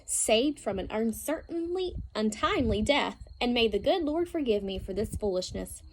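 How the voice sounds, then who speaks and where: A woman voicing a firm, fond farmer father in a storybook, matter-of-fact, wry and gently stern. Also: on the high side, animated, normal speed, slight background noise, poor audio.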